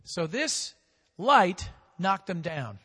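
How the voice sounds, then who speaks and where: A man preaching a sermon in a voice a little higher than most men's. He leads the congregation in call-and-response, rising loud and then dropping to a hush, and stretches out his key phrases. The sound rings with the long reverb of a big hall. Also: big pitch swings, very slow, breathy edge. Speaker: pastor.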